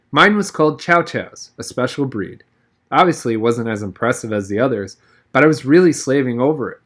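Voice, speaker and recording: Guy reading a kids novel out loud, normal pitch, trips on a word sometimes. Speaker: audiobook reader. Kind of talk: expressive read-aloud of a first-person novel.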